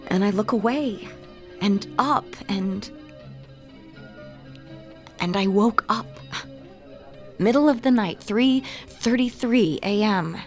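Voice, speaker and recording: A woman's voice: mid-range voice, slow, long pauses, soft background music. An actress playing a candid, sardonic young woman artist who sounds haunted, in a naturalistic audio drama. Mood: shaken, intense. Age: young adult.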